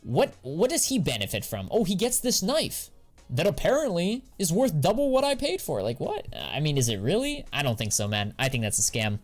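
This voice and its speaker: A man's voice: a little high, huge pitch swings, moderate pace, faint music behind. Laid-back slangy American guy streaming. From United States. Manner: slangy and laid-back, drawls filler words. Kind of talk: casual live-stream chat, answering viewers.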